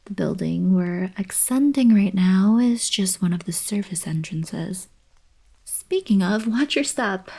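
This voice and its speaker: Woman acting soft, husky, slow-talking young alien princess. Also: medium pitch, lively intonation, heavily acted. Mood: playful teasing. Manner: soft, breathy, casual teasing delivery. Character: playful, teasing young alien princess, fond college girlfriend. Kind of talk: intimate ASMR roleplay, scripted monologue to the listener.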